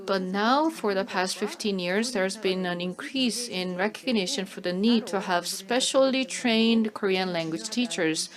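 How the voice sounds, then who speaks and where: A woman interpreting a conference talk live, in measured phrases with hesitant pauses. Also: mid-range voice, crowd noise.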